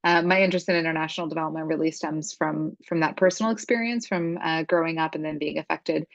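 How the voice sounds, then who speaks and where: Woman in her 30s, really low voice w lots of fry, reflective, on a panel video call. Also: steady tone, fast talker, no room sound. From Serbia, later the US. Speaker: career panelist, executive director. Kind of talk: self-introduction on a panel. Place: room at home, video call. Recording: slightly dull video-call audio.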